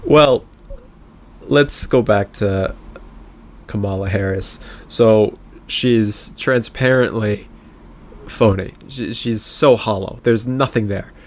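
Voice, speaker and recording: Man, somewhat low pitch, sardonic and mocking, casual podcast banter about politics. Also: slow pace. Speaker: podcast co-host.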